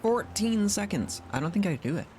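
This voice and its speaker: A man's voice: high-pitched, big pitch swings, normal speed, background noise. A man doing casual live game commentary, talking to himself and reacting as he plays. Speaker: game streamer.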